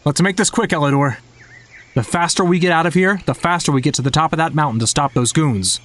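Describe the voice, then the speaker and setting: A man's voice: on the high side, quick pace, fairly bright tone, lightly acted. A man playing a brash, impatient young squad captain in an audio drama, speaking in a curt, commanding way.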